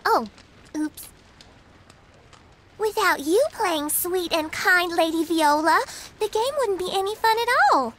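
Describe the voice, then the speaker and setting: Very high, cheeky little girl. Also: takes it slowly, long pauses, a bit bright, a little hiss or hum, heavily acted. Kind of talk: anime-style video game dub acting.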